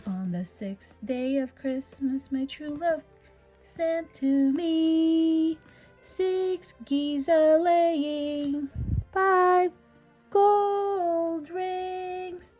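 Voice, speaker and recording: A woman reading a children's Christmas verse aloud in a sing-song, drawn-out, rhythmic way. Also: very high voice, darkish tone. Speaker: children's storybook reader. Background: background music.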